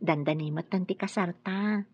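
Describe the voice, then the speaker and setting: An actress with an Ilocano accent playing a caring young sweetheart who fusses and gently chides, in radio drama style. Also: female voice, fairly low pitch, moderate pace, breathy edge, highs cut off.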